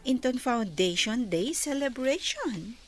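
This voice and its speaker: A woman's voice: a little high, animated, takes it slowly, over music. An actress playing a gossipy young female office worker in an Ilocano radio comedy-drama. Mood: curious.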